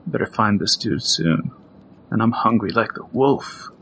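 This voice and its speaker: A man's voice: fairly low pitch, lively intonation, measured pace, a bit bright, a little hiss or hum. A man playing a playful, wisecracking bounty hunter who talks in a jaunty, joking way in an audio drama.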